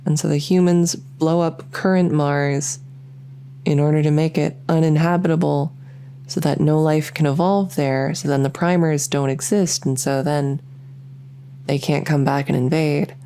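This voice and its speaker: A woman's voice: deep voice, fairly even intonation, measured pace, dark tone, slight background noise. A woman voicing a lonely, socially awkward vampire who rambles enthusiastically in an ASMR audio roleplay. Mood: earnest rambling. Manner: rambling, enthusiastic info-dumping, many fillers. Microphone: close ASMR microphone.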